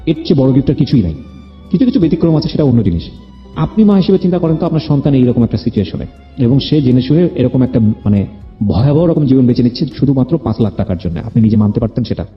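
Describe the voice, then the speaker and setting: A man's voice: mid-range voice, expressive, fast talker. A man with a Bangladeshi accent hosting an interview, speaking earnestly and probingly and mixing in English words. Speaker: radio show host. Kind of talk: conversational life-story interview with advice. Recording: roomy echo, dull muffled sound, music underneath. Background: background music.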